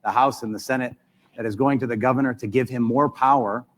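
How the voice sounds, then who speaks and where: A middle-aged man from Hawaii speaks as a mayoral candidate, laying out why he is running. His delivery is folksy and halting, full of small fillers, at an ordinary mid pitch. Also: steady tone. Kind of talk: candidate introduction.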